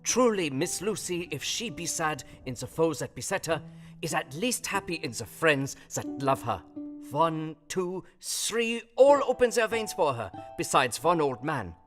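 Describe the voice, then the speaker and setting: An actor playing a kindly, wise old Dutch professor in an audio drama, with quaint foreign phrasing and theatrical emphasis. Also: male voice, a little high, sing-song, unhurried, fairly bright tone, faint music behind. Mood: fond, moved. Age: older.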